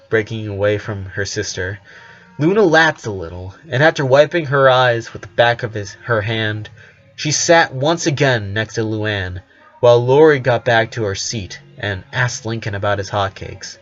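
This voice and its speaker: A man narrating fan fiction aloud, expressive, occasionally stumbling over a word and correcting himself. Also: medium pitch. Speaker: story narrator. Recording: slightly dull, lo-fi microphone.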